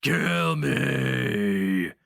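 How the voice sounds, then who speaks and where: A man's voice: very animated, very slow, creaky, a bit bright, no echo, clean studio sound, exaggerated voice. A man voicing a brutish barbarian pit champion who taunts and roars defiantly in a fantasy story. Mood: roaring. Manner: booming, roaring, taunting.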